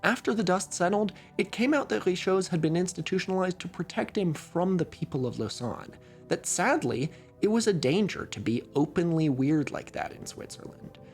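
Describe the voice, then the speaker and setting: Lively American man narrating a documentary. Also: normal speed, faint music behind. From Oregon, USA. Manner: wry, conversational storytelling with lively pitch swings.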